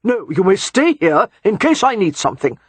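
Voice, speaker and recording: A woman's voice: mid-range voice, very animated, moderate pace, slightly rough, no echo, pitched above the performer's own voice. A woman reading a novel aloud, voicing a querulous, demanding elderly male earl. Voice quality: demanding, scowling.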